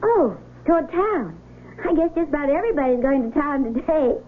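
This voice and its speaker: A cheerful young woman in a high, bright voice, inviting and friendly. The sound is tinny, with clear hiss like an old radio broadcast. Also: animated, fairly quick. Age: young adult.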